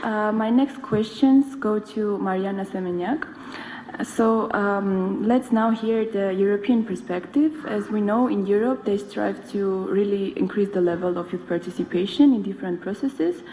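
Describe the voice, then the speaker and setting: In an echoey hall with faint background noise, a woman moderates a panel. Her voice sits at a medium pitch, and she feels her way through her questions a little hesitantly. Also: normal speed, mellow.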